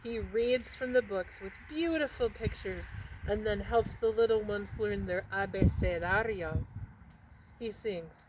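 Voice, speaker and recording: A woman narrating a children's picture book expressively, with dramatic pauses and emphasis on words. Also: mid-range voice, background noise. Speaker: read-aloud storyteller.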